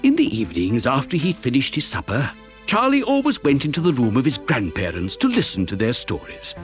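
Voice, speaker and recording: A man's voice: mid-range voice, wildly swooping pitch, fairly quick, faint music behind. An actor playing an exuberant, boastful chocolate maker who tells a children's story theatrically, savouring his words. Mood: storytelling. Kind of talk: dramatised children's story narration.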